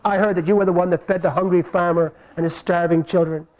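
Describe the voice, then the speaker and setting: A man voicing a commanding fairy-tale queen in a live puppet show, haughty at first and gently praising later. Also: sits high, steady tone, fast, dull audio, natural voice. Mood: approving. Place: live stage puppet show before an audience.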